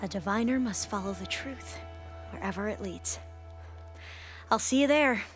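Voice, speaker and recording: A woman playing an earnest, nervous young scholar in a video game, expressive and eager. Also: medium pitch, normal speed, soft background music, close to an everyday voice.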